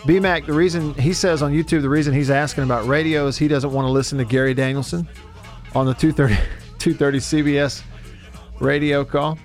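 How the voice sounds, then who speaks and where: A man's voice: fairly high pitch, fairly even intonation, a little fast, faint music behind. A man hosting sports radio from a studio in a folksy, rambling, chatty way. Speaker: sports radio show host. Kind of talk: casual chat.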